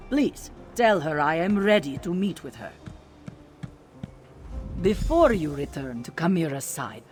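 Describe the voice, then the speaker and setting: Formal cat lady envoy from a fantasy game, dutiful, lively intonation, music behind. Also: fairly low pitch. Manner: formal, refers to herself in third person. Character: formal female Khajiit cat-folk envoy, measured and dutiful. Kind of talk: video game character dialogue.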